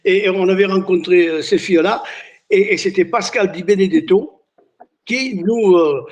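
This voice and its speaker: Chatty older Italian-born man, high voice. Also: moderate pace. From Italy. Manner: chatty storyteller, many restarts and "hein". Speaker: singer and entertainer, guest. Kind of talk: casual interview chat.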